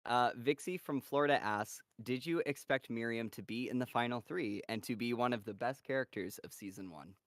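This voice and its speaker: A man hosting a casual live-stream Q&A, reading out fan questions in a relaxed way with lots of "um"s. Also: medium pitch, normal speed, hardly any pauses, no room sound, studio quality. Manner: relaxed, filler-heavy, reads questions aloud.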